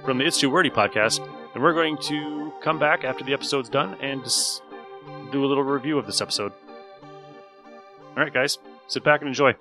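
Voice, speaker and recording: A man's voice: medium pitch, fairly quick, pauses often, over music. A man hosting a podcast, giving a casual, folksy, chatty introduction. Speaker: podcast host.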